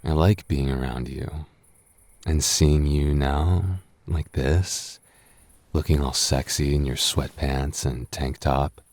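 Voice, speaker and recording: A very low-pitched male roommate voiced by a man, tipsy and flustered, in an intimate roleplay audio. He stumbles over his words, defensive yet flirty, and sounds close and dry, with no room around him. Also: slow, darkish tone, dry recording, heavily acted. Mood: tender confession. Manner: stammering, defensive, conversational. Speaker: voice actor.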